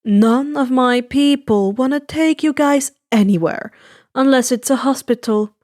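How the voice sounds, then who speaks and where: A woman's voice: high voice, measured pace, darkish tone, no echo. A woman voicing a tired, blunt, exasperated male villain in a story reading. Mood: weary, annoyed. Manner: dry, blunt, irritated.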